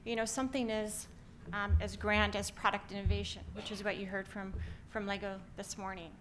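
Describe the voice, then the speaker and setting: A woman's voice: mid-range voice, level tone, moderate pace, noisy background. Upbeat Canadian woman presenting. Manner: upbeat, many "um" fillers. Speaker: company founder presenting. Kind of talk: conference presentation.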